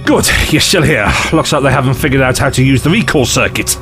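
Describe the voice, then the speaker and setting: A man's voice: mid-range voice, animated, brisk, music in the background, natural voice. A man playing a glib, eccentric alien time traveller in an audio drama, flippant, theatrical and condescending. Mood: brisk, cheeky.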